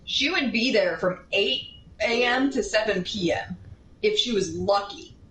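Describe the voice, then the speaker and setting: A woman casually telling a rambling anecdote in an animated way, drifting into tangents and acting out what people said. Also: mid-range voice, measured pace. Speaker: storyteller. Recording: roomy, distant mic, steady background noise.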